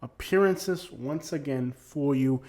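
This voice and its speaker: A man's voice: on the high side, slow pace, a little mellow. Blunt opinionated man hosting commentary. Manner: blunt, emphatic, repeats points. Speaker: commentary channel host. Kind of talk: opinionated commentary on arrest records.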